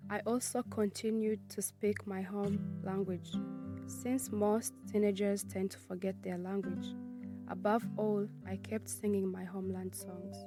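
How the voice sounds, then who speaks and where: A woman's voice: medium pitch, little pitch movement, measured pace, over music. Earnest young woman reading her life story. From Congo, raised in Kenya. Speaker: student narrator. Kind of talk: reading a personal narrative.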